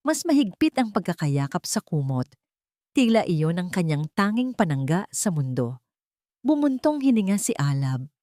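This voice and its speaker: A woman's voice: on the low side, big pitch swings, normal speed, warm tone, no room sound, clean studio sound. A woman reading scripted, dramatic story narration.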